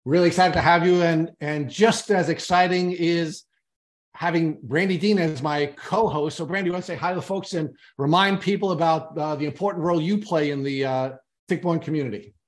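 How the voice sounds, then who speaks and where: A man's voice: fairly high pitch, a little fast. A man co-hosting a podcast, introducing his guest in a friendly, enthusiastic way, with some ums between phrases. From Long Island, New York. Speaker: podcast co-host. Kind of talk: interview hosting. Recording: roomy echo.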